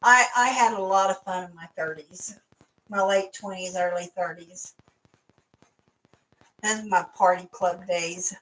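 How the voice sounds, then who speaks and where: A woman's voice: fairly low pitch, a little slow, long pauses, fairly bright tone, recorded from a distance, a little hiss or hum, rough recording. A woman in her fifties chatting casually with family as a mother, rambling and laughing often. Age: 50s. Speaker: mother and co-host.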